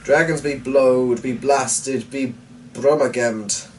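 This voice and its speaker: A man voicing a huge, reluctant lord of the manor in a storybook reading, gruff and dismissive. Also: medium pitch, steady tone, slow pace, close to an everyday voice. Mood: stubborn refusal. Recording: distant mic with faint noise.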